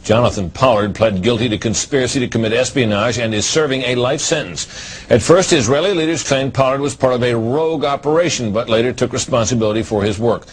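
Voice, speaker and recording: A man's voice: medium pitch, quick pace, breathy edge, rough recording. A man reading scripted news narration as a reporter.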